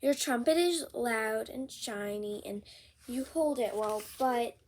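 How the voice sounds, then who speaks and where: A reader voicing a gentle, honest male storybook elephant who speaks haltingly and carefully. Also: mid-range voice, slight background noise, low-quality recording. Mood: gently honest.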